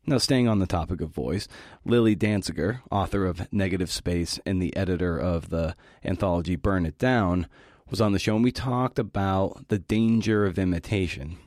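A man's voice: sits low, normal speed, darkish tone, no room sound, studio quality. A middle-aged man hosting a podcast, giving a scripted talk with casual asides in a folksy, encouraging manner.

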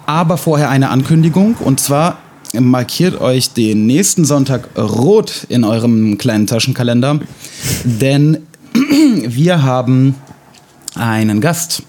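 Mann mit leichtem russischem Akzent. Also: male voice, mid-range voice, very animated, darkish tone. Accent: light Russian. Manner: halting, many fillers, dry self-irony. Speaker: podcast co-host. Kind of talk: casual podcast chat.

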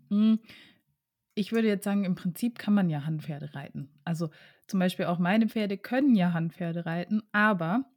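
A woman co-hosting a casual podcast chat, relaxed and giggly as she tells stories. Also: on the low side. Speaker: podcast co-host.

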